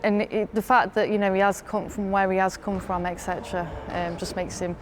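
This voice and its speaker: Flat-voiced British college tutor. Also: female voice, medium pitch, a little fast, a little hiss or hum. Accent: British English. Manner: chatty with fillers.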